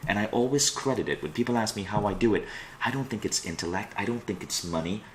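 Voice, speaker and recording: A man's voice: fairly low pitch, lively intonation, quick pace, slight background noise, low-quality recording. A middle-aged man from Malaysia presenting an online self-improvement training as a coach, with an emphatic, promotional delivery. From Kuala Lumpur, Malaysia. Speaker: personal growth coach and trainer.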